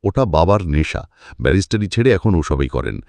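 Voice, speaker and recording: A man's voice: low voice, big pitch swings, a little fast, a little mellow, dry recording. A man voicing a genial, slightly awkward father who is polite, chatty and hesitant, in an audio story. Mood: eager, polite. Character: genial, slightly awkward middle-class father, about Feluda's age.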